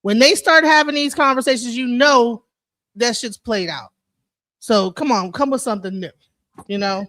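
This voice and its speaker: Blunt, bright-voiced woman in her forties. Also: a little high, moderate pace, no room sound. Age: 40s. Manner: blunt, profane, loud, mocking. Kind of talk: casual discussion.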